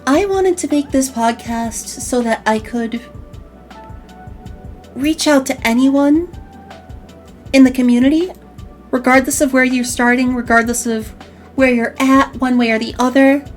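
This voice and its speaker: A high-voiced woman with a slight Chicago accent hosts a casual online chat show. She talks in a chatty, animated way and restarts her words often. Soft music plays faintly underneath. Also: a little slow, pauses often. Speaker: podcast host.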